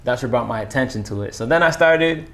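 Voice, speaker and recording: Male interviewer, relaxed and conversational, very expressive intonation. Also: medium pitch, quick pace. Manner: laid-back, asks short questions, frequent backchannel. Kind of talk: casual chat.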